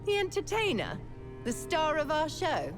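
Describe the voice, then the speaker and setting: A woman with an English accent playing a vengeful, grieving undead vampire sister in a video game, mocking and unhinged, in a theatrical, drawn-out manner. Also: sits high, huge pitch swings, over music, heavily acted. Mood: bitter, taunting. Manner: taunting, dramatic, drawn-out.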